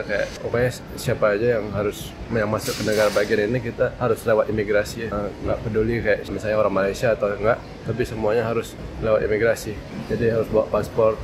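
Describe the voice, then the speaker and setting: A man's voice: lowish voice, flat delivery, fast talker, few pauses, noisy background. A man talking casually to the camera as a travel vlogger, explaining as he goes.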